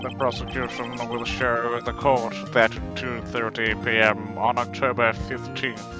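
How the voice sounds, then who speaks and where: Casting: man acting as cold game prosecutor, measured and slow, music behind. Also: medium pitch, monotone, lightly acted. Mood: formal, stern. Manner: measured, formal. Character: cold, formal male courtroom prosecutor. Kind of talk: video game fan dub.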